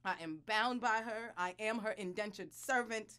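An actress playing a bitter, devout wife in an audio drama, intense and deliberate in her delivery. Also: medium pitch, pushed and bright, clean tone, lightly acted. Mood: weary, anguished. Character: bitter, anguished wife and reluctant adoptive mother, devout. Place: small recording studio. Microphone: studio condenser mic.

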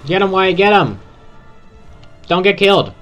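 A young man talks through a video game in casual commentary, calling out moves and urging his friend on. His voice is mid-pitched and swings widely as he gets excited, with faint music underneath. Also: a little slow. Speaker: gamer.

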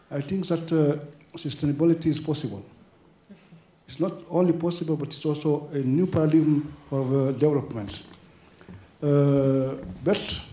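A man speaking English as a second language, commenting from the floor at a conference, often pausing and repeating words. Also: on the high side, dark tone. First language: Slovene or French. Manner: halting, repeats words, apologizes for his English. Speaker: audience member commenting. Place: large bright conference room. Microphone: handheld mic. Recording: echoey conference room.

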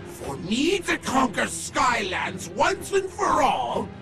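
A megalomaniac little dark wizard from a cartoon game, shrill and high. He proclaims bombastically and slowly, often close to shouting, with big swoops of pitch and music behind him. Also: big pitch swings, gravelly, exaggerated voice. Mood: triumphant menace. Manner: pompous, bombastic, taunting. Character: short, bald, megalomaniac male evil dark sorcerer. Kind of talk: over-the-top cartoon villain acting.

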